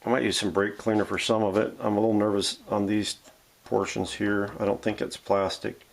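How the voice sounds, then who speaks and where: Low-voiced man thinking aloud at the workbench. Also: level tone, a little fast, creaky. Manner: muttering step-by-step commentary, thinking aloud. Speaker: vintage radio restorer hosting a workshop video. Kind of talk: casual hands-on narration while working. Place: home workshop.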